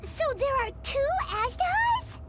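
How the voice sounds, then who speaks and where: Very high, youthful game adventurer. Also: female voice, very animated, unhurried, exaggerated voice. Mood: startled. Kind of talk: anime video game voice acting. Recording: loud game music over the voice.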